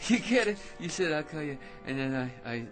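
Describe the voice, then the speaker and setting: A man's voice: fairly high pitch, sing-song, brisk, faint music behind. An actor playing a tipsy man in a radio drama, rambling and stammering in a giggly, slurred way. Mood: giddy. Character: tipsy, rambling man full of silly schemes.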